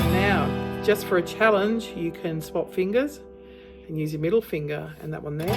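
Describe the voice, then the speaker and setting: Unhurried, low-voiced woman teaching guitar. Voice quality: low female voice. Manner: encouraging, reassuring. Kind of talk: informal instructional demonstration for a beginner. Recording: acoustic guitar sounding alongside the voice. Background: acoustic guitar being strummed.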